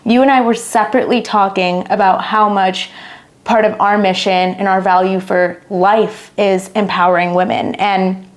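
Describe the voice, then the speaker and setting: A woman's voice: mid-range voice, fairly even intonation, moderate pace. Upbeat woman co-hosting a podcast. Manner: upbeat, enthusiastic. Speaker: podcast co-host. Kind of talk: casual chat.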